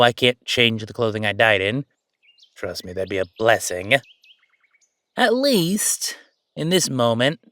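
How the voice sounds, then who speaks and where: A man's voice: medium pitch, a bit bright, dry recording, clean studio sound. A man playing a chatty, easygoing ghost who died at thirty-five, rambling casually with wry asides in an audio roleplay. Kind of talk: conversational audio roleplay monologue.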